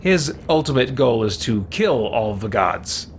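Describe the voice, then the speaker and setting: A man's voice: mid-range voice, big pitch swings, a little slow, slight background noise. A man livestreaming a game in a casual way, musing aloud as he chats and reads game text. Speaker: game streamer.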